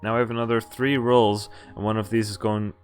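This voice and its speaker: A man's voice: lowish voice, flat delivery, a little fast, faint music behind. A man streaming a game, reading its story text aloud with casual, amused, sweary commentary.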